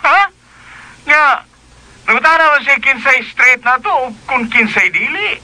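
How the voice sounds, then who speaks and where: A very bright, sharp-voiced young-sounding buddy in a radio drama, swinging widely in pitch. He is playful and teasing, speaking at an unhurried pace with faint noise behind him. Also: heavily acted, rough recording. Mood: amused teasing. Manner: animated, chuckling, teasing.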